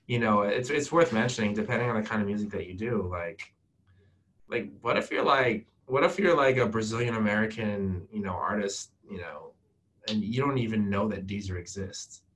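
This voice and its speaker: A young man talking casually on a video call, animated and loose, with lots of fillers. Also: lowish voice, normal speed. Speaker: guest from a music data company.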